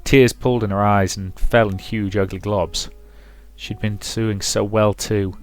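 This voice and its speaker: A man's voice: lowish voice, steady tone, unhurried, faint music behind. A man commentating on and reading aloud from a visual novel as a let's play host.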